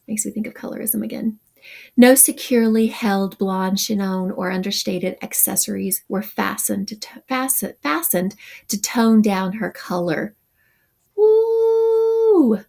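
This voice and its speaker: A woman teacher reads a text aloud to her students in an average-pitched voice. Her voice has a gravelly fry. She keeps stopping to explain terms and add wry comments. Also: darkish tone. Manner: reads passages then adds wry asides.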